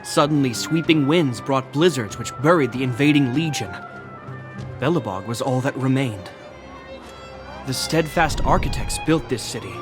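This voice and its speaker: A man voicing a solemn, dutiful guard captain in a video game, formal, measured and authoritative. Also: mid-range voice, lively intonation, close to an everyday voice. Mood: grave, storytelling. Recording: game music behind the voice. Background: orchestral violin music.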